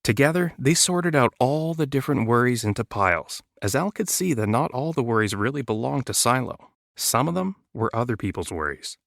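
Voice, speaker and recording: Guy reading a kids picture book, medium pitch voice, really dry clean sound. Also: expressive, a little fast, gravelly, fairly bright tone, no room sound. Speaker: guest storyteller, voice actor. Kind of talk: children's storybook narration.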